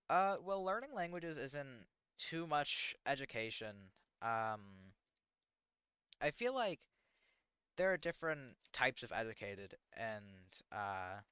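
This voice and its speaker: Halting teenage American guy streaming. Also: mid-range voice, a bit bright, smooth, dry recording, studio quality. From United States. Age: teens. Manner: halting and rambling, self-deprecating, many fillers. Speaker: livestreamer. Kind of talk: casual livestream chat answering chat questions.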